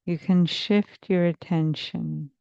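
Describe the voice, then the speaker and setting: Slow, low-voiced woman guiding a meditation. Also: fairly even intonation, gravelly, dark tone, no echo, muffled recording. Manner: soothing, measured, hypnotic phrasing with long pauses. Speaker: therapist leading a guided meditation. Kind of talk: slow guided meditation narration.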